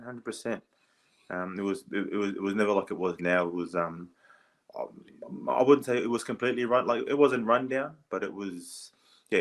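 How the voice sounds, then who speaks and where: An Australian man in his thirties, a guest on a podcast, talking casually and hesitantly with many pauses and restarts. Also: fairly low pitch, a bit bright. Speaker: podcast guest, former rugby league player. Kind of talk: casual interview chat.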